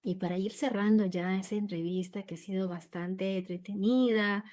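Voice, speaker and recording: A woman's voice: mid-range voice, steady tone, normal speed, darkish tone. A woman from Peru hosting an interview, appreciative as she leads the questions and thanks her guest. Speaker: interview host.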